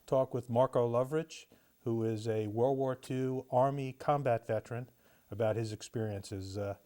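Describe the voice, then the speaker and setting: A man's voice: medium pitch, steady tone, normal speed, mellow, clear, resonant tone. A man interviewing a veteran for an oral history, asking friendly questions with short, encouraging replies.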